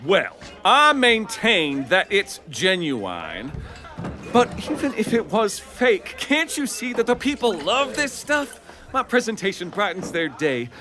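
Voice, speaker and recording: A man's voice: sits high, huge pitch swings, takes it slowly, heavily acted. Slick snake oil salesman in a western musical, super swoopy theatrical patter, music behind. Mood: defensive. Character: smooth-talking male con man peddling miracle tonic. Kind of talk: musical audio drama acting, rhyming patter.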